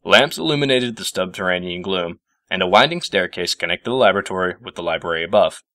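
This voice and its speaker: A man's voice: low voice, animated, fast talker, sharp tone, dry recording, clean studio sound. A man narrating a scripted story as its reader.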